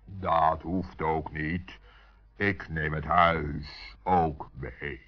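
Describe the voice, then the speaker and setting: A man playing a calm, kindly but commanding giant in a children's radio play, speaking in a measured, authoritative way. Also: very deep voice, heavily acted. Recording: dull, muffled old radio recording.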